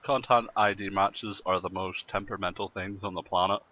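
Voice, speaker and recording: A man's voice: low-pitched, fairly even intonation, brisk. A man joking crudely and swearing as he chats casually as a co-host on a group podcast. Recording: dull, muffled voice-call microphone.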